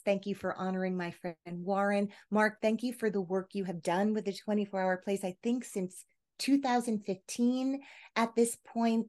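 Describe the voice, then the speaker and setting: A woman speaks as a podcast host with a medium-pitched voice. She is effusive and full of appreciation, and talks in a conversational, personal style. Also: a little mellow. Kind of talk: warm-worded interview chat and show sign-off.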